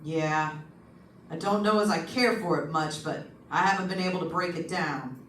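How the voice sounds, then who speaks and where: A woman's voice: fairly low pitch, normal speed, a bit bright, lightly acted. A woman playing a seasoned, plainspoken homicide lieutenant in an old-time radio detective drama, matter-of-fact and colloquial. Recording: roomy, distant stage recording, faint noise.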